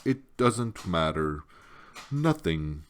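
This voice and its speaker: Man voicing an ancient wheezy turtle crone, drawling slowly. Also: sits low, sing-song, heavily acted. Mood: resigned, indifferent. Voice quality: gurgling, wheezy. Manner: slow, drawling, resigned speech. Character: ancient, weary, apathetic giant female turtle, irritable.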